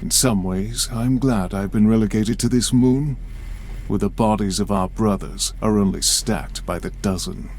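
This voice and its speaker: A man's voice: lowish voice, normal speed, a little mellow, slight background noise, lightly acted. An actor playing a weary, bleak space marine warrior-priest in a video game, formal, somber and bitter in his delivery. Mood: bleak resignation. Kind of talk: dramatic video game cutscene acting.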